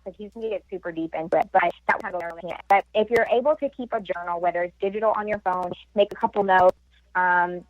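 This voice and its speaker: A woman's voice: medium pitch, a bit bright, no room sound, poor audio. A woman guest on a radio talk show, giving health and wellness advice in an explanatory way, with frequent fillers and tag questions.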